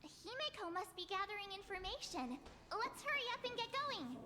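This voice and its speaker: A woman's voice: very high voice, sing-song, normal speed, in a large hall, very noisy. A woman voicing an earnest, eager young girl adventurer in a video game. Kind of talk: English video game voice acting.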